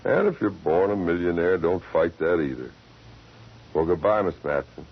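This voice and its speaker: An actor playing a cool, cynical cowboy ranch hand turned killer in 1940s radio drama, laconic and sardonic, with a gravelly voice. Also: male voice, expressive, moderate pace, exaggerated voice. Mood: resigned, wry. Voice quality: deep, gravelly. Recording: muffled 1940s radio recording.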